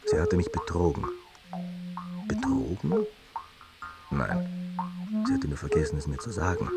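Man playing wry aging poet, animated low voice. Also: measured pace, vocal fry, exaggerated voice. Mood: dry, brooding. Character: aging, disillusioned male Viennese poet, ironic and irritable. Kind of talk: dramatic literary recitation of Viennese miniatures. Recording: music under the voice.

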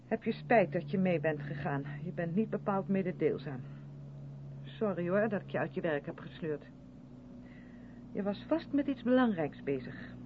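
An actress playing a gushing, over-polite woman in a radio drama, quick to defend herself and faintly condescending. Her voice swings lively up and down. It sounds thin like a phone line, with a loud engine hum behind it. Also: fairly low pitch, natural voice. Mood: gushing, uneasy. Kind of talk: radio play dialogue in a moving car.

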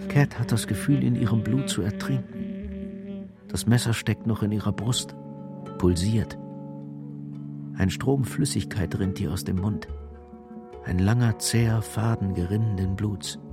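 A man's voice: lowish voice, measured pace, pauses often. A man narrating a crime radio drama in a grave, detached, scripted style. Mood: grave, intense. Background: music.

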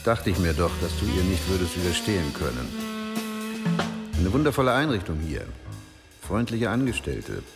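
Low, wry letter-writing friend. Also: male voice, sing-song, normal speed, pauses often, over music. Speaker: actor voicing a letter writer. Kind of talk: letter voice-over in a German crime radio drama.

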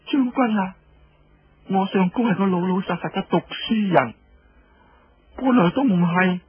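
A man's voice: high voice, dull audio, slight background noise, pitched above the performer's own voice. A man voicing a distraught, stubbornly loyal young wife who pleads in Cantonese-accented radio storytelling. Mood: pleading. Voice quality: husky. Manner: slow, plaintive, pleading.

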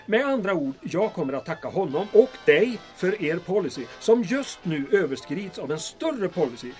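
A man's voice: high-pitched, sing-song, a little mellow, faint music behind. A man acting a pompous, officious middle-aged mayor in an audio drama, talking in long-winded bureaucratic phrases. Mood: pompous, pressuring.

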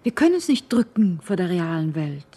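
A woman's voice: on the low side, very animated, a little fast, dark tone, husky. An actress playing an earnest, worried woman pleading with her lover in a radio drama, speaking seriously and with some hesitation. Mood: worried, insistent. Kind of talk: German radio drama acting.

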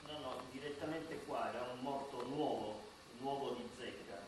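A man's voice: medium pitch, moderate pace, a little hiss or hum, close to an everyday voice. An actor playing an emotional dead man who narrates the afterlife in a dramatic, effusive radio drama style. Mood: explanatory. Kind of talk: theatrical radio drama acting. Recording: echoey, distant, music behind.

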